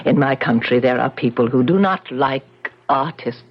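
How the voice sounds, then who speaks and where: An actress in a 1970s radio drama playing a proud, nervous prima ballerina, speaking halting, formal English with a foreign accent. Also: female voice, rumbling low. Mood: troubled, resolute. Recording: muffled 1970s radio broadcast.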